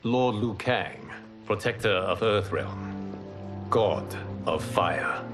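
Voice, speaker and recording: An actor playing a solemn, commanding fire god in a video game, speaking in a formal, measured, grave manner. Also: male voice, lowish voice, music in the background. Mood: calm, assured. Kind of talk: cinematic video game dialogue.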